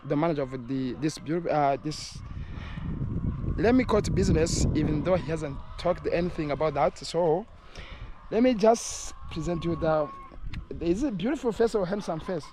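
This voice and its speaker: A man hosting an interview, speaking haltingly and restarting phrases as he searches for words. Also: on the high side. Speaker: host. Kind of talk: casual interview. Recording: noisy outdoor recording.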